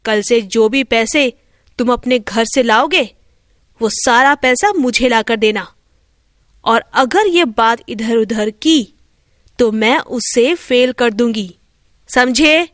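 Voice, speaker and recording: A woman's voice: on the high side, slow, dry recording, studio quality, pitched below the performer's own voice. A woman voicing a cruel, greedy schoolteacher in a storybook tale, bossy and threatening in her delivery.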